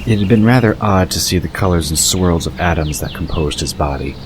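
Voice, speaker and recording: A man's voice: low voice, fairly quick, background noise. A man reading scripted narration for an audio drama.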